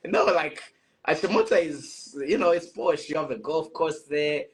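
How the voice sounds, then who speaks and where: A man's voice: a little high, a little slow, low-quality recording. A man hosting a casual online chat, speaking informally with playful, teasing banter. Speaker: host of an online panel chat.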